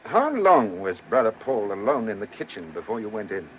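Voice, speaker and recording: A man playing a dogged, urbane police chief inspector in a radio play, measured and probing, with a wry touch. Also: on the low side, sing-song. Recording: noisy old radio recording.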